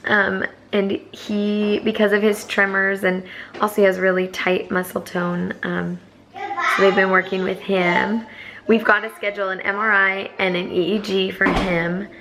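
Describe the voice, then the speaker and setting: A hoarse young woman chatting to camera as a foster parent, rambling with many fillers. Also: medium pitch, level tone, a little slow, noisy background. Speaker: vlogger. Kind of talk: casual chat to camera.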